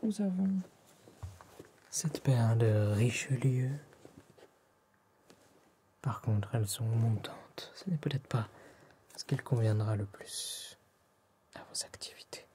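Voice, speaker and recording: A man with a French accent whispers softly and breathily in an ASMR roleplay, addressing the listener politely and formally as an attentive tailor, close to the microphone. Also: medium pitch, expressive, slow, pauses often, slight background noise. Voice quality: soft, breathy whispering and hushed speech.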